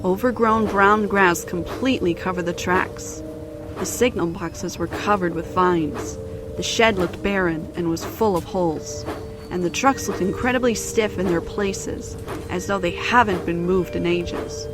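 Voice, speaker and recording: A woman's voice: lowish voice, a little slow, music in the background. A woman narrator reading a scripted children's story in storybook style.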